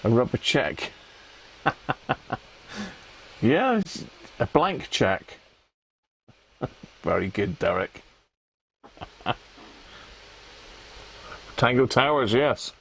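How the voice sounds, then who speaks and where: A man's voice: medium pitch, very animated, extremely slow, creaky, pauses often, fairly bright tone, a little hiss or hum. A British man hosting a livestream, chatting casually and colloquially as he thinks aloud. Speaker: livestream host. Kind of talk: casual rambling chat to live viewers.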